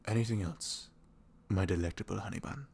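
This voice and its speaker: A man playing a sweet, caring boyfriend in an intimate ASMR roleplay, speaking softly and tenderly in a velvety, often breathy voice with earnest, rambling reassurance. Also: fairly low pitch, a little fast, slight background noise. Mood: affectionate, playful. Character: sweet, caring, devoted young boyfriend talking in bed.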